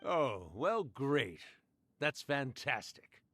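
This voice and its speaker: A man's voice: mid-range voice, very animated, very slow, a bit bright, full character voice. A man playing a jovial old male yokai painter and storyteller in a video game, theatrical and teasing with a wistful streak. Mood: warm farewell.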